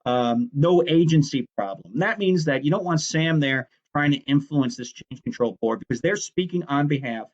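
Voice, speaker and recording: Male lecturer, fairly high voice, chatty and explanatory, self-correcting, muffled lo-fi sound. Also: animated, moderate pace, few pauses. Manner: conversational, explanatory, corrects himself mid-sentence. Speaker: instructor. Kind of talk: recorded lecture on project management.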